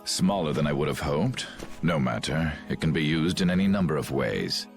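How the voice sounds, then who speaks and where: A man's voice: a bass voice, animated, moderate pace. An actor playing a cold, scheming commander who mocks his captives in a game cutscene. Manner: cold, gloating, mocking laughter. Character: scheming ruthless male commander, middle-aged. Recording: game audio with music behind.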